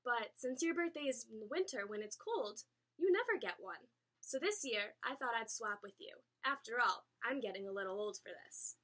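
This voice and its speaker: A woman's voice: a little high, expressive, normal speed, sharp tone. A woman voicing a cheerful older sister in her late teens in a children's storybook, kindly explaining. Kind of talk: children's storybook read-aloud.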